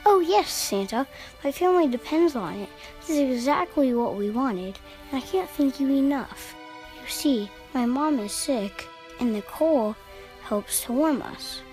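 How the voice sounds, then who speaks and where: A woman's voice: high-pitched, animated, a little slow, a little mellow, low-quality recording. A woman voicing a sweet, earnest, grateful little boy in an audio storybook. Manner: earnest, innocent. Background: music.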